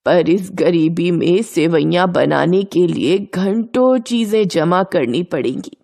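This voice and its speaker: Poor old grandmother for an audio storybook, played by a woman: plaintive, careworn, devoted, very unhurried delivery. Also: mid-range voice, a little mellow. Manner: plaintive, lamenting. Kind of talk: dramatised children's story acting.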